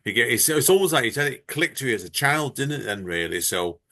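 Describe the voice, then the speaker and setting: A man's voice: mid-range voice, expressive, fast, sharp tone. A man hosting a podcast chat, talking in a chatty, rambling, enthusiastic way. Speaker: podcast host. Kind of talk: casual chat.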